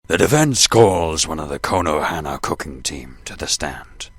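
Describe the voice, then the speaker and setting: A man playing a gruff, pompous older man arguing combatively as defense in an amateur radio play. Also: a bass voice, animated, normal speed, fairly bright tone, no room sound, studio quality, full character voice.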